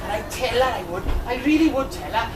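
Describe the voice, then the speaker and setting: A woman's voice: on the high side, animated, quick pace, natural voice. A woman playing Buttons, a cheeky, chatty, lovelorn Welsh servant lad in a pantomime, rambling colloquially to the audience. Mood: chatty, confiding. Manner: colloquial, rambling, tag questions. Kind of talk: British pantomime, bantering with the audience. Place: tavern stage performance. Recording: distant, echoey amateur video with crowd noise.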